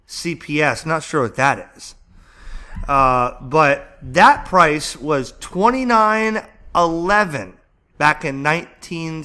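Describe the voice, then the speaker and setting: Bright, higher-voiced enthusiastic man chatting. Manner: excited, chatty, rambling, thinking aloud. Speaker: amateur radio vlogger and host. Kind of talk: casual enthusiastic vlog commentary, browsing and narrating.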